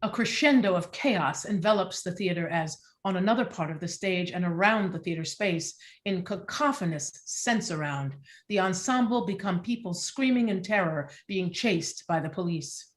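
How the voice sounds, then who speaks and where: Woman, lowish pitch, scripted narration, stage directions, measured, descriptive.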